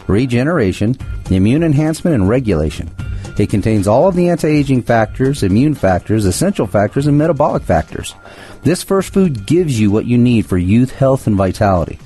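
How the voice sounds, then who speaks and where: A man reading his own company's radio advertisement with earnest persuasion. His voice is warm and a little husky, and his pitch moves in a lively way. Faint music plays behind him. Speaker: company founder. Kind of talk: scripted radio ad read.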